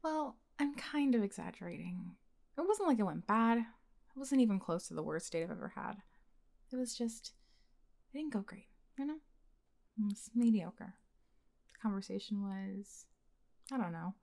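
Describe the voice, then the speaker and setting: Casting: young woman, voice actress playing a blunt heartbreaker best friend, mid-pitched, casual and expressive, intimate audio roleplay. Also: normal speed, vocal fry, long pauses. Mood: exasperated, casual. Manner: casual, expressive, sighing, sweary. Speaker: voice actor in ASMR roleplay. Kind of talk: intimate conversational ASMR roleplay acting.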